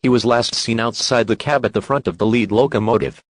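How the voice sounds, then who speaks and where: A man's voice: medium pitch, a little fast, dry recording, natural voice. A man voicing an impersonal emergency alert announcer, reading scripted civil warnings in a formal, instructive manner. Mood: impersonal, official.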